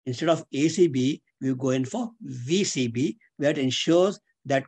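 An older man giving a webinar lecture as an instructor, speaking in a deliberate, didactic way and repeating key terms. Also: mid-range voice, lively intonation, a little mellow, slightly rough. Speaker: engineering instructor. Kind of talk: webinar lecture explaining slides. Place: indoors, on a webcam video call.

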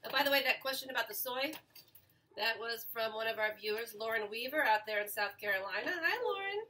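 A woman's voice: a little high, animated, a little fast, sharp tone. A woman in her forties hosting a casual home cooking show, chatting to viewers as she cooks, with fillers and self-corrections. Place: small home kitchen. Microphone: camera mic a few feet away. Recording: home kitchen with clattering pans.

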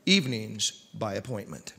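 A man's voice: fairly low pitch, lively intonation, moderate pace, creaky, bright, forceful tone, slightly rough. Middle-aged radio announcer man in reverberant hall. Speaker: radio show host and announcer. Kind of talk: old-time radio announcing, scripted ad reads. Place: large church-like hall on stage, indoors. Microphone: stand microphone at lectern.

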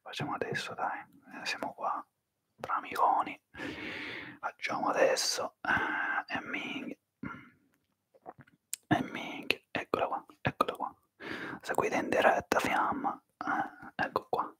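A man whispering through a live ASMR stream, softly greeting viewers and slowly repeating their names into a close microphone. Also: dry recording. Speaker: ASMR livestreamer.

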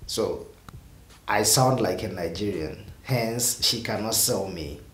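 A man's voice: fairly low pitch, expressive, takes it slowly, a bit bright, a little hiss or hum. Exasperated young man vlogging in a roomy room. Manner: exasperated, rambling with repeated restarts. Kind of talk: casual talk to camera. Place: small indoor room with purple lighting.

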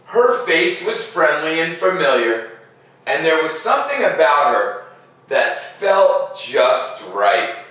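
A middle-aged man reading a storybook aloud to children with casual commentary, expressive and drawing out words as he reads. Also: on the high side, slow. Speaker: read-aloud host. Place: living room, seated in armchair by fireplace. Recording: roomy echo, distant microphone.

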